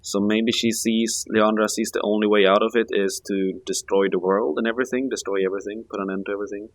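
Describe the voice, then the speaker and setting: A man's voice: on the low side, fairly even intonation, fast talker, no echo. A man chatting casually during a co-op game playthrough, musing aloud in a tentative way. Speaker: gaming video player.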